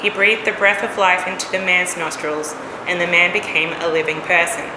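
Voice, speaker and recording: A woman who has lost some of her voice, coughing now and then, giving a relaxed, chatty sermon in a church. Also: on the low side, moderate pace. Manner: relaxed, conversational, chatty asides. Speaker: preacher. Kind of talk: casual sermon. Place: church hall. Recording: echoey church hall, air conditioning hum.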